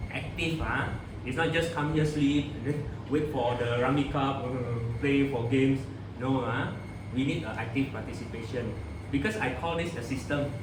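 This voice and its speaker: Singaporean man, halting presenter, lots of fillers, very echoey hall-like room, heard from far off, noisy background. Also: medium pitch. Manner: halting, many uh fillers, checks in with "okay?". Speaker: community workshop presenter. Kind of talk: informal talk to a seated group. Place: community activity center room. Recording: distant roomy noisy room recording.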